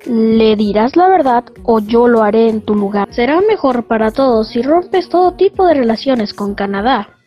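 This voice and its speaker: A woman's voice: on the high side, animated, moderate pace, close to an everyday voice. A woman voicing a menacing, jealous, domineering American man in a fan-dubbed comic. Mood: angry, threatening. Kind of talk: fandub comic voice acting. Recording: dull lo-fi audio over music.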